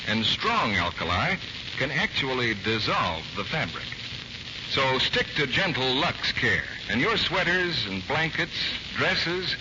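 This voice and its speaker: A male American radio announcer reads scripted copy in 1940s style. His medium-pitched voice swings expressively, polished and emphatic. The old broadcast sounds muffled and hissy.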